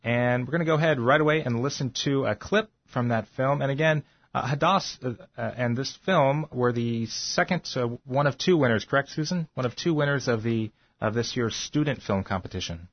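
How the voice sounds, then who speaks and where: A man hosting a radio show, announcing guests and a clip in a relaxed, casual way. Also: mid-range voice, brisk, few pauses, no echo, lo-fi sound. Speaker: radio host.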